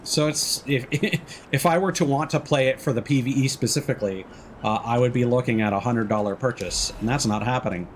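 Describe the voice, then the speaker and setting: A man's voice: mid-range voice, fairly quick, slight background noise. A man chatting casually and thinking aloud as he commentates a video game on a live stream.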